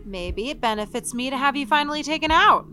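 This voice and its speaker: A woman's voice: sits high, animated, fairly quick, bright, forceful tone. Woman playing a sly cat burglar over music. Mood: sly, wary. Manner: teasing, sardonic. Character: sly, flirtatious female cat burglar antiheroine. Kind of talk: table read acting.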